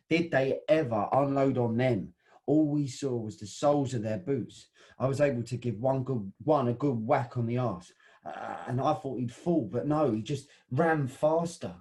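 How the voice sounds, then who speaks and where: A man's voice: mid-range voice, moderate pace, darkish tone, natural voice. An actor playing a rowdy football hooligan who swears and stammers as he tells the story. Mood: boastful. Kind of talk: satirical audio drama.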